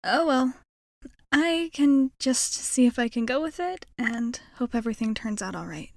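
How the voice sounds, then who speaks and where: A woman's voice: a little high, lively intonation, moderate pace, darkish tone, no room sound, clean studio sound. A woman playing a shy, nervously hopeful young alien space traveler in an ASMR roleplay, rambling hesitantly and putting herself down. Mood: anxious, musing. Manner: hesitant, rambling, self-effacing. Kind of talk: ASMR roleplay, intimate character monologue.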